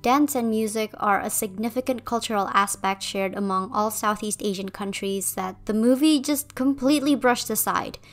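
A woman's voice: mid-range voice, moderate pace, hardly any pauses, faint music behind. Young woman from Indonesia, video essay voice-over, conversational and opinionated. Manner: conversational, opinionated, a bit wry. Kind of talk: scripted commentary voice-over.

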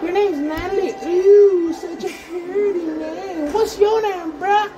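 A woman's voice: extremely high pitch, slow, music in the background, a crowd in the background, poor audio. A woman voicing a silly, cheeky, foul-mouthed hand puppet in a playful, exclaiming, sing-song way during an improvised puppet show. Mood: chatty. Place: indoor corner with bookshelves, behind puppets.

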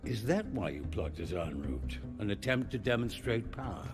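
A man's voice: lowish voice, sing-song, normal speed, over music. A man playing an urbane, wry older hotel manager in a video game, refined and dryly polite. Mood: impatient, polite. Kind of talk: video game dramatic dialogue.

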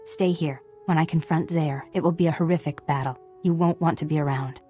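An actress playing a stern, earnest Jedi warrior in a video game, speaking in a grave, formal manner. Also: female voice, low voice, fairly even intonation, fairly quick, mellow, faint music behind, natural voice. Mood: grave, resolute.

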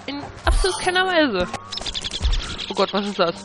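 Exasperated let's play host with a stuffy cold, loud game music under him. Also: male voice, very high voice, sing-song, moderate pace. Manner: grumbling, exasperated. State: has a cold. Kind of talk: casual gameplay commentary.

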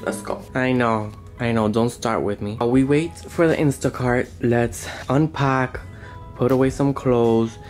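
A man's voice: mid-range voice, measured pace, darkish tone. A young man vlogging casually to a handheld camera around his home, chatty and flamboyant with slang. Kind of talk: casual vlog chat to camera. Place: home rooms and parked car. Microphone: handheld camera mic. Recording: echoey rooms with music underneath.

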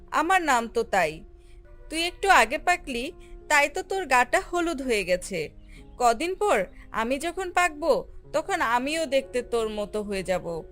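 A woman voicing a humble, kindly young green mango in a children's cartoon, reasoning gently. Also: high voice. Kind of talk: animated children's fairy tale acting. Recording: music under the voice.

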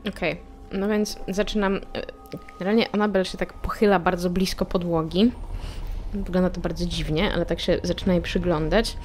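A woman playing a tabletop role-playing game, describing her character's actions in a brisk, decisive way. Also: lowish voice, steady tone, darkish tone, slight background noise. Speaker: player.

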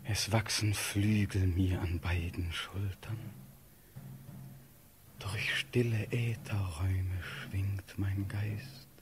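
Brief: dreamy young prince in stage verse, low-pitched, extremely slow, breathy and rapt. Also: male voice, steady tone, background noise. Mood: rapt, solemn. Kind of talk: classical German verse radio play acting.

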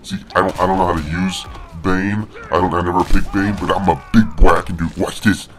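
A man giving joking, boastful let's play commentary through a pitched-down monster voice filter. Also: fairly even intonation, brisk, noisy background. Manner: joking, boastful, playful trash talk. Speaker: gamer.

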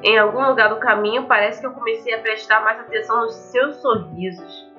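A woman's voice: a little high, brisk. A young woman with a Brazilian accent, chatting informally and reading aloud as she plays a visual novel. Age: young adult. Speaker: gaming video host. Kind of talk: visual novel let's play, casual commentary and reading aloud. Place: indoors at home, facing a webcam. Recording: muffled lo-fi mic, game music behind.

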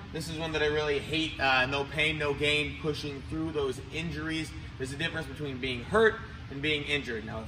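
A man in his thirties gives coaching advice in an instructional style. His voice sits at a middle pitch. It is picked up from a few metres away in a large indoor gym, with clear background noise. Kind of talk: instructional talk to camera. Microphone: camera a few metres away. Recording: roomy, distant mic, noisy gym ambience.

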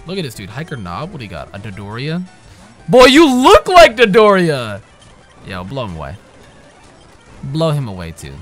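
A young man giving casual, profane let's-play commentary, trash-talking the characters and breaking into sudden loud yells. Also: medium pitch, huge pitch swings, normal speed, pauses often. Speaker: gaming channel host. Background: game music.

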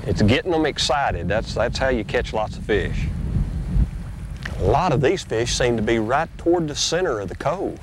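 A middle-aged man, folksy and drawling, hosting a fishing show and teaching while he fishes from a bass boat on a lake. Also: normal speed. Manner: folksy, drawling, excited when a fish bites. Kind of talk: casual outdoor instruction while fishing. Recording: noisy outdoor boat audio, 1990s tape.

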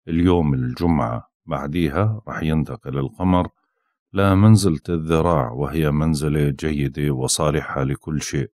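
A man giving a daily astrology forecast. He sounds tired and unwell, and he talks slowly and haltingly, with frequent drawn-out "uh" pauses. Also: rumbling low, a little mellow, dry recording, studio quality. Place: indoors, with possible street sounds outside.

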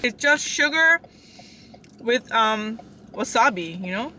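A woman's voice: a little high, expressive, takes it slowly, distant mic. A woman in her thirties, a vlogger chatting casually while tasting snacks in a parked car, giggly and reacting as she eats. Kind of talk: casual car mukbang chat. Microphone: camera on dashboard. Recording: loud car background noise.

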